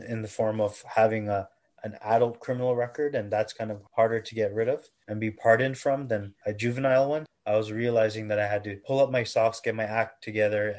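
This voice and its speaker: A man in his twenties from Canada, earnestly and thoughtfully telling his own story in an interview, at times pausing to correct himself. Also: fairly low pitch. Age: 20s. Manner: earnest, measured, self-correcting. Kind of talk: reflective personal testimony.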